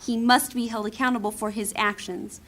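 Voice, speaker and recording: A woman playing a proud, embittered thief in a fantasy audio drama, speaking formally and gravely as if giving testimony. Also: fairly high pitch, steady tone, a bit bright. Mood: resolute. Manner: formal, grave, deliberate storytelling.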